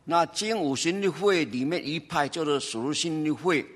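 Male church elder, husky, slightly higher voice, short interpreted phrases. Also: lively intonation, normal speed. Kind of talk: testimony in Mandarin with pauses for interpretation.

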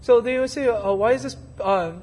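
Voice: mid-range voice, normal speed, a little mellow. An interpreter rendering a Chinese sermon into English line by line, hesitant at times. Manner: hesitant, with fillers and restarts while translating.

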